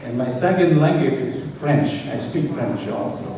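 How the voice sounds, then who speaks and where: A Cambodian-accented man with a mellow, rounded voice speaks as a preacher, pausing after short phrases for an interpreter. The sound is distant, washed in heavy hall echo. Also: a little high. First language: Khmer. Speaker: guest preacher. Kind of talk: prayer and sermon delivered in short phrases with pauses for an interpreter. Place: large echoey indoor room. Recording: echoey reverberant hall from far off, faint noise.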